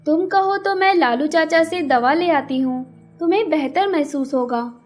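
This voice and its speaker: A woman voicing a kind, hardworking young female bird in a children's cartoon, speaking in a gentle, earnest, helpful way. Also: high voice, normal speed, close to an everyday voice. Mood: caring. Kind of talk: children's cartoon character acting.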